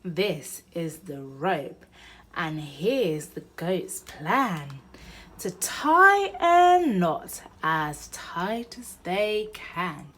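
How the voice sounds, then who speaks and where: A woman reading a children's storybook aloud in an expressive, sing-song way, addressing young children. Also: on the low side, very slow. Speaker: mother and presenter of a children's read-aloud channel.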